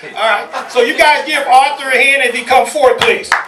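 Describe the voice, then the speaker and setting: A man hosting a live stage event, addressing the audience in a loud, exclamatory, rallying manner. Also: very high-pitched, a little fast, background noise. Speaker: event host. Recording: echoey, distant live event with crowd.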